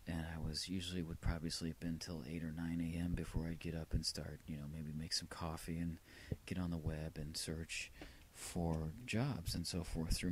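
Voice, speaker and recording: Male vlogger, deep voice, rambling and reflective, wry delivery, slight background noise. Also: a little mellow. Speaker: vlogger playing old answering machine tapes. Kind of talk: casual rambling personal narration.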